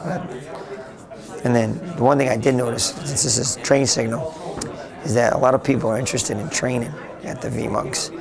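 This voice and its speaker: A man being interviewed casually at a convention, talking with a raspy, slightly hoarse voice and rambling with lots of restarts. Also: mid-range voice, steady tone, normal speed. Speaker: interviewee, tech blogger. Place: busy convention floor. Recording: noisy crowded convention hall. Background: crowd chatter.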